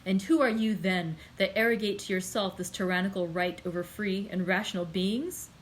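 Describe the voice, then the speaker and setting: A woman reads as a young man driven to despair, his voice raised and raw with anger and grief. He hurls accusations in loud, impassioned bursts, pitched a little higher and pushed harder than the reader's own narration. The reading keeps an even, middling register underneath the strain. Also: moderate pace, a little hiss or hum, lightly acted. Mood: furious. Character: young man, desperate, angry, grief-stricken. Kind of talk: audiobook character reading.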